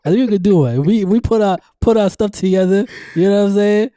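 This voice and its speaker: Man, podcast co-host, hyped banter, high voice, mellow tone. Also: quick pace, clean tone, no room sound. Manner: hyped, loud, laughs and whoops a lot. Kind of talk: casual podcast banter with a read sponsor spot.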